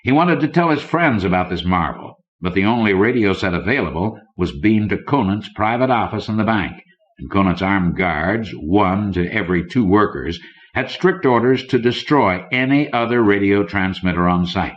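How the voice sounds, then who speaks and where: A man with a very low voice narrates fiction for an audiobook. He reads from a script as a storyteller. The recording is dull and lo-fi, as from an old cassette. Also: animated, normal speed.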